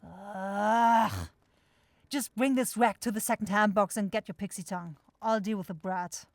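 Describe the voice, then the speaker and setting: A woman's voice: medium pitch, fairly even intonation, a little slow, no echo, natural voice. Woman acting brusque demon shop boss, very dry close sound. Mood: weary, bossy. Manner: brusque, exasperated, sarcastic. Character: bossy, grumbling demon woman shopkeeper, sweary supervisor. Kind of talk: fantasy audio roleplay acting.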